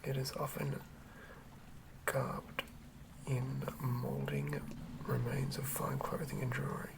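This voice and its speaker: An ASMR host speaking in a soft, breathy whisper, gently and quietly sharing tabletop fantasy material. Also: mid-range voice, little pitch movement, slow pace, heavy background noise. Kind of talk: whispered ASMR, chatting and reading aloud from a game manual.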